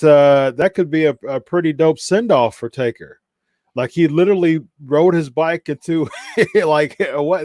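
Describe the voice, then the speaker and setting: A man's voice: on the high side, darkish tone, no room sound, studio quality. Chatty Midwestern man hosting a stream. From Ohio, USA. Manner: reads viewer comments aloud, chatty with fillers. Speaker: watch party host. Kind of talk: casual live-stream chat.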